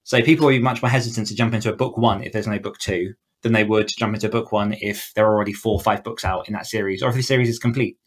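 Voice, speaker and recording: Male interview guest, author-coach type, lowish voice, conversational, self-correcting as he explains. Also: steady tone, racing, hardly any pauses.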